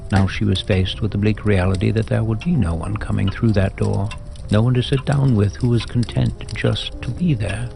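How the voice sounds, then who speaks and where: A man narrating a scripted audio drama as a storyteller, in a measured, reflective manner. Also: on the low side, steady tone, vocal fry. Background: music.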